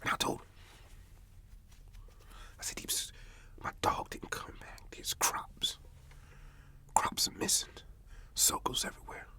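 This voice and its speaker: A man playing a troubled, God-fearing farmer, telling his story slowly in a breathy ASMR whisper and repeating phrases in a folksy way. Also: sharp tone. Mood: troubled, hushed. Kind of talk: whispered ASMR roleplay monologue.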